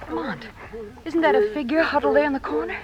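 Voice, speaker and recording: A woman's voice: sits high, fast, dull audio, crowd noise, lightly acted. An actress playing a concerned, loyal young lady companion in the style of 1940s radio. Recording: noisy old radio drama recording.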